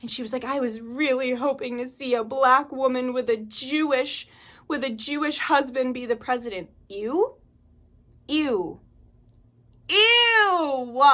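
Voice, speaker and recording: Young woman, fiery profane ranting monologue, rather high voice. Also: sharp tone. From United States. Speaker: spiritual channel host. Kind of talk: impassioned monologue to camera.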